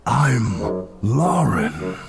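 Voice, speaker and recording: A man voicing a gigantic, gentle abominable snowman in an audio storybook, solemn and gravelly, with a halting, drawn-out delivery. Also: medium pitch, very animated, over music, heavily acted. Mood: slow, solemn. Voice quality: gravelly, creaky.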